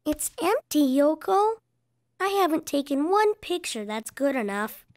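An actor voicing an earnest five-year-old raccoon boy in a children's cartoon, expressive, eager and easily discouraged. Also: high-pitched, a little slow, no room sound, studio quality, exaggerated voice. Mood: worried, downcast. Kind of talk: children's animated cartoon acting.

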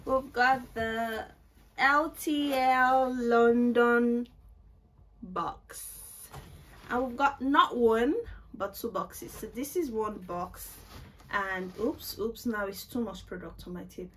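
A woman's voice: a little high, slow, a bit bright, slight background noise. A woman in her twenties chatting casually through a makeup tutorial at home, self-mocking and repeating words for emphasis, recorded by a camera at desk distance. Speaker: beauty vlogger. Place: small home room, indoors.

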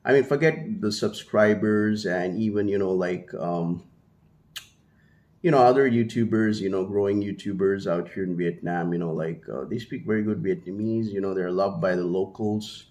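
A man's voice: low voice, moderate pace, a little mellow. A man in his thirties from India, whose first language is Hindi, talking casually to camera in a small room, rambling with many fillers and restarts. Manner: gestures with one hand, holding a small mic to his mouth. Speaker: vlogger. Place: small room at home, indoors. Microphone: handheld mini mic held at the mouth.

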